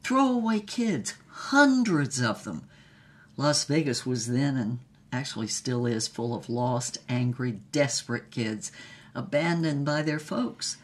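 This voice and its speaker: A woman's voice: very deep voice, animated, slow, heavily acted. An actress playing an older, reflective woman with a wry, emphatic way of telling her mystical life story in a drama. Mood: wry, reminiscing. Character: old woman, reflective mystical storyteller and former fugitive artist. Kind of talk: dramatic first-person storytelling, acted as conversation.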